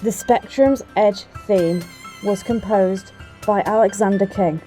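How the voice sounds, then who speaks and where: A woman's voice: mid-range voice, slow pace, mellow. A woman reading scripted closing credits for an audio play as announcer. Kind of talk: scripted narration. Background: music.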